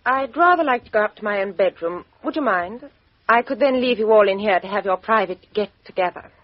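A woman's voice: mid-range voice, expressive, fairly quick, natural voice. An actress playing a cool, independent, sarcastic young British woman in a 1950s radio mystery, clipped and guarded. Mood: cool, composed. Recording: muffled 1950s radio drama recording.

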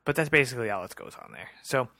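A man's voice: medium pitch, wildly swooping pitch, racing, vocal fry, pushed and bright, no echo. A man co-hosting a podcast in a casual, informal recap chat. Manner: informal, swearing. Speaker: podcast co-host.

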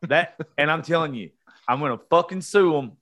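A man's voice: fairly high pitch, fairly quick, very noisy. A man, hosting a casual interview chat in a blunt, joking, foul-mouthed way. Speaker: show host.

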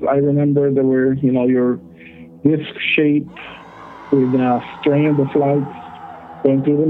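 Man on a tinny phone line. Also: mid-range voice. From El Salvador. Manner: halting, many fillers. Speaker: caller. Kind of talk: telling a personal story on a call-in show.

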